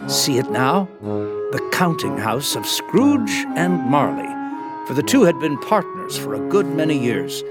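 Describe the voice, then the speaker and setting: Lively, genial Christmas storyteller. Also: male voice, a little high, unhurried, over loud music. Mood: confiding. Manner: confiding, dramatic. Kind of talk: theatrical storytelling narration.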